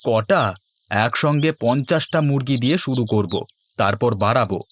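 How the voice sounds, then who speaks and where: A man's voice: mid-range voice, measured pace, a little hiss or hum, close to an everyday voice. A man as a confident, scheming cartoon villager. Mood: eager, persuasive. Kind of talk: comic Bengali cartoon dialogue. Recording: music behind the voice.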